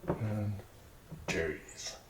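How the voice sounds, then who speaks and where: A man's voice: fairly low pitch, extremely slow, slight background noise. A man giving an ASMR cooking demonstration as a home cook, murmuring in short, sparse phrases in a kitchen. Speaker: home cook presenting a recipe. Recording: roomy kitchen echo, clattering dishes and clicks.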